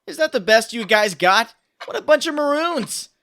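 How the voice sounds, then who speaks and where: A woman's voice: a little high, lively intonation, moderate pace, fairly bright tone. A woman voicing an earnest, wisecracking young superhero in a dramatic comic dub. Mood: cocky, joking.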